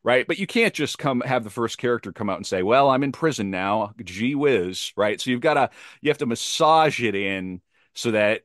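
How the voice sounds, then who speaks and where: A man's voice: medium pitch, lively intonation, normal speed, a bit bright, dry recording, clean studio sound. A man speaking as a podcast guest and playwright, talking casually and emphatically about his craft, often rephrasing for stress. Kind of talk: animated casual interview talk about craft.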